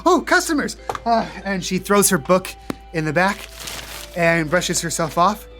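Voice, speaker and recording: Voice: medium pitch, fairly even intonation, measured pace, fairly bright tone. A game master narrating a tabletop roleplay podcast in a casual, descriptive, conversational way. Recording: music under the voice.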